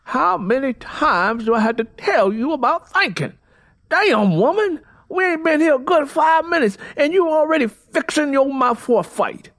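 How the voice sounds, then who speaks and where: A man's voice: very high voice, lively intonation, moderate pace, fairly bright tone, voice pushed up, exaggerated voice. A man voicing a volatile, growling young man in an audiobook story, barking combatively. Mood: irritated, combative. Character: volatile, snarling, hard-drinking young man.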